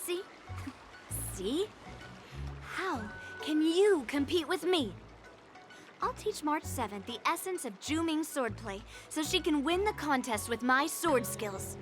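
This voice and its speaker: A young girl sword master for an anime game. She speaks in a high voice with brash, boastful confidence and a commanding manner, over background music. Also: expressive, slow pace.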